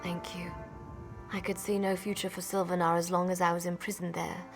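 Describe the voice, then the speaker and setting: A woman's voice: fairly low pitch, little pitch movement, brisk, faint music behind, lightly acted. An actress playing an earnest female elven spirit in a video game. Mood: grateful, urgent. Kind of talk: video game quest dialogue.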